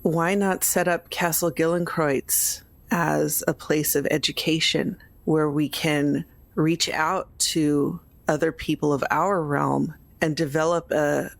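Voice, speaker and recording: A woman's voice: deep voice, gravelly, no room sound. A woman roleplaying in a tabletop game, making her case in a reasoned, persuasive way. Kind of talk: in-character roleplay discussion.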